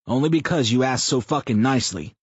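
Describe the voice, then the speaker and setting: A man voicing a crude, loud, foul-mouthed man who jokes and mocks in an audiobook. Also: mid-range voice, animated, brisk, breathy edge, dry recording, pitched above the performer's own voice. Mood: brash. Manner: brash, swearing, mocking.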